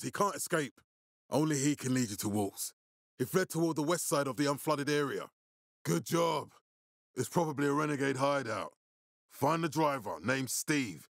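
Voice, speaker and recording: A gravelly, commanding militia leader. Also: male voice, medium pitch, dry recording, clean studio sound. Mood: firm, commanding. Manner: authoritative, urgent, giving blunt orders. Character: commanding, hard-swearing male militia leader in a zombie apocalypse. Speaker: voice actor. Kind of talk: video game dramatic acting.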